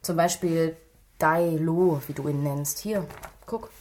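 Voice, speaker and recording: An actress playing a stern, worried policewoman in a radio drama, firm, admonishing and dryly sarcastic, with a husky voice. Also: sits low, slow, a little mellow, a little hiss or hum. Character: stern, worried female police colleague, scolding and maternal.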